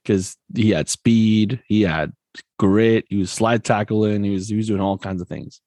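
A man's voice: on the low side, unhurried, no room sound. Chatty laughing man, dry close sound. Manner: relaxed, chatty, laughs often, many fillers. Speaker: podcast co-host. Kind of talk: casual sports chat.

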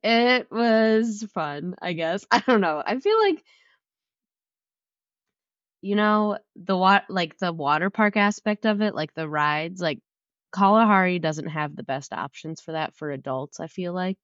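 A woman's voice: lowish voice, animated, measured pace, fairly bright tone, dry recording. A young woman in her twenties co-hosting a casual chat podcast, a bit nasal from a cold, giggling and rambling with lots of "like"s. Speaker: podcast co-host. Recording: slightly muffled lo-fi audio.

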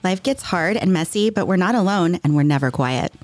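Lower-voiced woman podcast co-host. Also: lively intonation, a little fast, no echo. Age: 40s. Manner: warm storytelling, laughs, swears casually. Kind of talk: casual chat.

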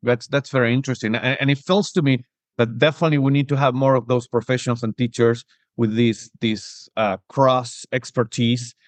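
A man's voice: mid-range voice, steady tone, brisk, dry recording. A man from Argentina hosting an interview, asking long questions with frequent restarts and "right?" tags. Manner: searching for words, restarts, rising "right?" tags. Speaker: podcast host.

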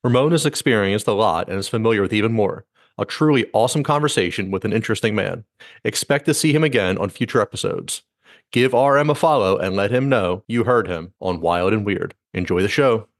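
A man's voice: on the low side, a little fast, no room sound, studio quality. A man hosting a podcast, reading an upbeat scripted intro and welcoming his guest. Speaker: podcast host.

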